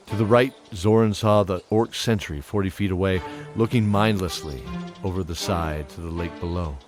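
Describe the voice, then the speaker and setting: A man narrating a scripted fantasy story in a measured, dramatic manner. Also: sits low, a little mellow. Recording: music under the voice.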